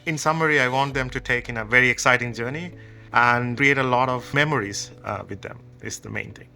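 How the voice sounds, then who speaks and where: A man's voice: mid-range voice, brisk, gravelly, sharp tone, a little hiss or hum. A man, answering interview questions as a company co-founder in a thoughtful, conversational way. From Sri Lanka. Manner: thoughtful, conversational, explains with examples.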